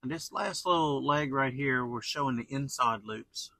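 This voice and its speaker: A man's voice: medium pitch, moderate pace, bright, forceful tone, no room sound. A man giving a casual, rambling campground tour as a travel vlogger, chatting with a partner in a folksy, colloquial way.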